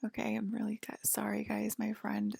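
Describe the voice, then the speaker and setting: A woman whispering softly and soothingly in an improvised ASMR sci-fi roleplay, playing a calm alien abductor tending to a patient. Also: medium pitch, no room sound, studio quality. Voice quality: breathy whisper. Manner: soft, slow, soothing. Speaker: ASMR performer. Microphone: close microphone, dry.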